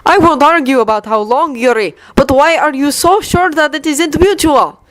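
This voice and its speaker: Lady voicing a defensive guy snapping back, bitter, pitched up. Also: sing-song, normal speed, no echo. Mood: frustrated. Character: frustrated, defensive man, bitter. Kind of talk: dramatic story reading.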